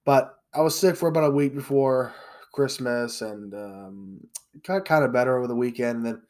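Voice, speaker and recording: A man's voice: medium pitch, normal speed, a little mellow. Congested guy doing his podcast, blunt and kinda rambly. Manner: blunt, opinionated, with fillers. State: congested, a little sick. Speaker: podcast host. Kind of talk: casual podcast monologue.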